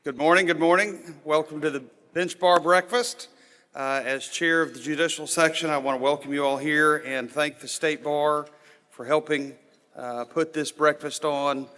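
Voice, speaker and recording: A man gives a formal welcome speech at a podium microphone, his voice bright and tense. He talks in a plain, steady way, filling gaps with "uh". A long reverb from the large banquet hall trails each phrase. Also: fairly high pitch, normal speed. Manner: plain and steady, with frequent "uh" fillers. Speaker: district judge giving opening remarks.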